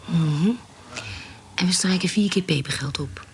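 An actress playing a bold, scheming mistress of a country house in a Dutch radio play, with a probing, headstrong manner. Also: female voice, lowish voice, measured pace. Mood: scheming, curious. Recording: noisy radio play recording.

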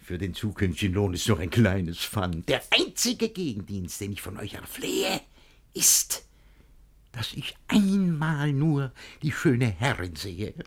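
A scheming, jealous master of a household in a classic verse radio play. His husky voice swings widely from brooding mutters to agitated outbursts. The delivery is declamatory and full of self-pity. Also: lowish voice, huge pitch swings, a little slow, exaggerated voice. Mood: mock wheedling.

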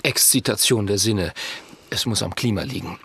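Creaky-voiced, deferential gentleman's secretary. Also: fairly low pitch, normal speed, full character voice. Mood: learned, approving. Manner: formal, precise, dutiful. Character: deferential, learned, stilted private secretary to English gentleman. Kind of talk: radio play acting.

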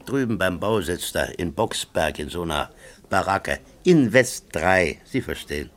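An actor playing a gruff, blunt, grumbling old working man in a German radio play. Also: low-pitched, expressive, a little slow, fairly bright tone. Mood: gruff, dry. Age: older.

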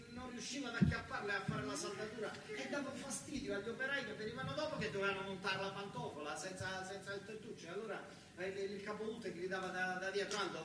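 A man with a southern Italian accent performing a colloquial, emphatic, repetitive first-person theatrical monologue. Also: sits high. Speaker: stage actor performing a narrative monologue. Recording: very echoey, distant, noisy live hall recording.

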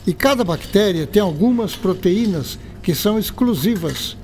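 An actor playing an earnest, courteous doctor and scientist in an educational radio drama, speaking Brazilian Portuguese with a Brazilian accent. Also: male voice, high voice, animated, moderate pace, darkish tone. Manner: didactic, courteous.

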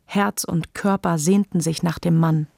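A woman's voice: fairly low pitch, steady tone, measured pace, creaky, a little mellow, no room sound, studio quality. A woman reading scripted literary narration for a radio play.

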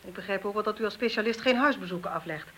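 An actress playing a calm police inspector who asks persistent, probing questions in a radio play. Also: female voice, medium pitch, rapid-fire, close to an everyday voice.

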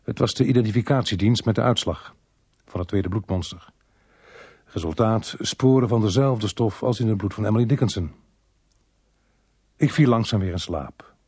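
A man's voice: on the low side, moderate pace, pauses often, a little mellow, breathy edge, no room sound. An actor playing a weary, sceptical policeman in a Dutch radio play, dry and grumbling, with a Netherlands Dutch accent. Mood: wry, weary. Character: weary, sceptical policeman narrating his own case.

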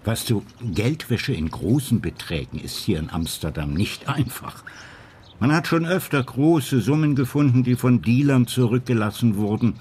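Ein Schauspieler spricht in einem Hörspiel einen gebrechlichen, selbstsicheren alten Polizeichef im Ruhestand, der bedächtig argumentiert. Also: male voice, mid-range voice, lively intonation, moderate pace, a little mellow, a little hiss or hum, close to an everyday voice. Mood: calm, justifying. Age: older. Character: frail, self-assured old retired Dutch police chief. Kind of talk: radio play acting.

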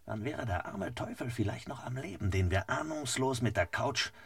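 A man playing an unflappable, superior master detective in a radio crime parody, dry and matter-of-fact with a raspy voice. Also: medium pitch, lively intonation, moderate pace, a bit bright, no echo. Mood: dry, musing.

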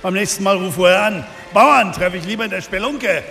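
An actor playing an arrogant, corrupt crime boss, sneering and boastful, in a German radio drama. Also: male voice, high-pitched, a little fast, vocal fry, bright, forceful tone, big-room sound, exaggerated voice. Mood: contemptuous.

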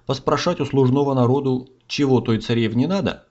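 A man's voice: medium pitch, a little fast, darkish tone. A man narrating a comic fairy tale in folksy, theatrical rhyme. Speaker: storyteller, narrator. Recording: slightly dull audio, music behind.

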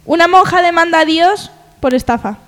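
A woman with a distinctly high voice co-hosts a comedy radio show. She reads spoof news items, slipping between mock newscast and casual banter. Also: moderate pace, fairly bright tone, big-room sound.

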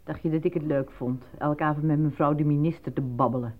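An actress playing a sceptical, sharp-tongued wife who questions and needles in a radio drama style. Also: female voice, sits low, fairly even intonation, fairly quick. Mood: jealous, sardonic. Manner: sardonic, questioning. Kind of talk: Dutch radio drama acting. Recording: tinny, narrow-band old radio play.

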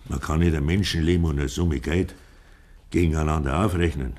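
An actor playing a polite male police inspector who questions in a formal, hesitant, measured way, in a 1950s German radio play. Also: rumbling low, fairly even intonation, a little mellow. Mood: formal, careful.

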